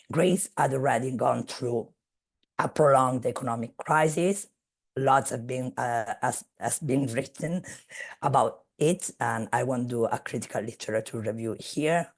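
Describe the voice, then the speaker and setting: Woman speaker on a video call, slow and measured, gravelly and husky. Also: very deep voice. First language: Italian. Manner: measured, searching for words. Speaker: guest speaker. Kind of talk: academic webinar talk.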